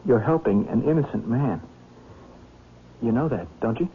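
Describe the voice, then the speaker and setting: An actor playing a desperate, nervous accused man on the run in a 1970s radio drama, speaking haltingly with stammers. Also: mid-range voice, animated, natural voice. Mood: grateful, subdued. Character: desperate, nervous male fugitive wrongly accused of murder. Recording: muffled vintage radio recording with hiss.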